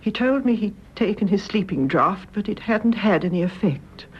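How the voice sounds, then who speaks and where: An actress playing a grave, loving elderly British mother in a radio drama, speaking in a measured, gentle, solemn way. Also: mid-range voice, lively intonation. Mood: calm, grave. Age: older. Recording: muffled radio recording, clear background noise.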